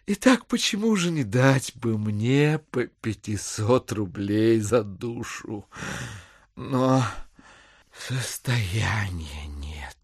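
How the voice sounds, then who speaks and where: An actor playing a smooth-talking, calculating gentleman in an audio play, unctuously polite and theatrically courteous. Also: on the low side, big pitch swings, extremely slow, no room sound, studio quality, heavily acted. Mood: ingratiating.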